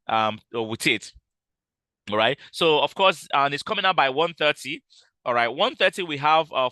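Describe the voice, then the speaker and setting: A loud man teaches a live trading session over a call, repeating himself and stumbling through fillers as he explains. His voice is bright and crisp, and the sound is dry and close. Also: medium pitch, no echo. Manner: explanatory, repeats points, frequent fillers and restarts. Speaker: trading instructor. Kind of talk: live online trading class.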